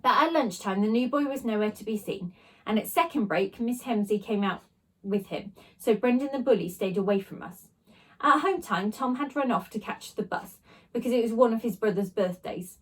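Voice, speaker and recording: A woman teacher reading a children's storybook aloud with expressive narration. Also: a little high, normal speed, low-quality recording.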